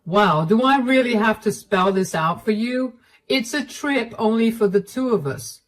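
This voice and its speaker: A woman's voice: on the high side, lively intonation, unhurried, voice pushed up. A woman voicing a cold, dismissive middle-aged husband who talks down bluntly. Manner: condescending, blunt.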